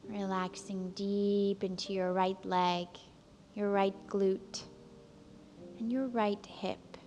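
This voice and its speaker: A woman instructor leading a guided relaxation, speaking calmly and gently, with long pauses between soothing instructions. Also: medium pitch, muffled recording, a little hiss or hum. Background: soft background music.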